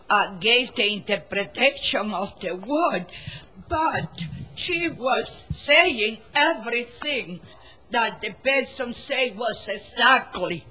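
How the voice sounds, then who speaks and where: A woman who speaks natively gives a casual testimony at an unhurried, slow pace. Her voice is bright and forward, with a husky rasp. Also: mid-range voice, poor audio. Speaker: congregation member sharing a testimony. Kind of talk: casual personal anecdote.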